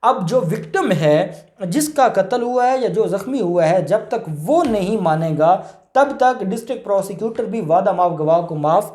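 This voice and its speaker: A man with a Pakistani accent giving an informal legal explanation, halting and rephrasing his points as he goes. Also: sits high, animated, warm tone. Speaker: lawyer explaining law. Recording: roomy, echoing indoor sound.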